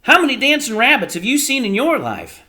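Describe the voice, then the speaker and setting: A man voicing a distraught poor young boy who shouts in rough slang. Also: extremely high pitch, wildly swooping pitch, brisk, pitched above the performer's own voice, exaggerated voice. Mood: eager.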